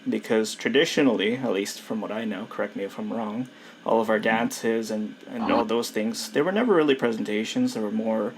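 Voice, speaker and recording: A man hosting a casual podcast chat, introducing the topic hesitantly with many pauses and "um"s. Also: fairly low pitch, a little hiss or hum, rough recording. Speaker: podcast host.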